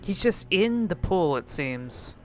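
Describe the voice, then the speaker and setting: Low-voiced woman doing casual game commentary. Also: very animated, takes it slowly. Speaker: video host exploring game cutscenes.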